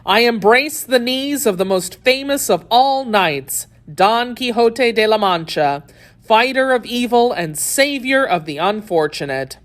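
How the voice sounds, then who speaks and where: A woman's voice: on the high side, lively intonation, measured pace, voice pushed up. A woman voicing a wily male showman in a storybook, theatrical and effusive, given to dramatic exclamations. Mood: gushing, grand.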